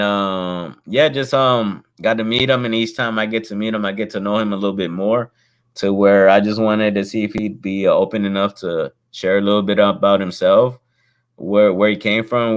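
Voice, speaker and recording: A man's voice: fairly low pitch, fairly even intonation, moderate pace, clean tone. A man hosting a casual interview, friendly and rambling, with a habit of saying "bro" and "my man". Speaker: host, real estate investor.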